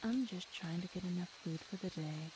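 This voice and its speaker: A woman playing a hungry, teasing feline monster girl in an ASMR audio roleplay, speaking with slow menace, hums and sighs. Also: fairly low pitch, noisy background, close to an everyday voice. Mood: menacing.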